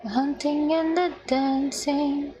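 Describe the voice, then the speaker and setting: A woman's voice: high voice, steady tone, takes it slowly, warm tone, a little hiss or hum. A woman livestreaming a video game, chatting casually and muttering to herself in short fragments as she thinks aloud. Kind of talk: casual gaming stream chat, thinking aloud.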